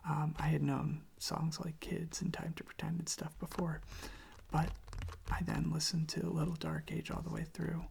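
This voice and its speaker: An ASMR creator speaking slowly in a soft, hushed whisper close to the microphone, gently repeating phrases. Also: medium pitch, flat delivery, creaky, slight background noise. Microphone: close microphone propped on a desk.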